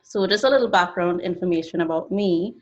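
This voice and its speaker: Woman in her twenties, low voice. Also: a little fast. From Trinidad and Tobago. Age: 20s. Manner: earnest, explanatory, frequent "uh" and "so". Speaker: guest artist. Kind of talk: presentation talk. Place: home studio. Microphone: video call. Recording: dull lo-fi call audio.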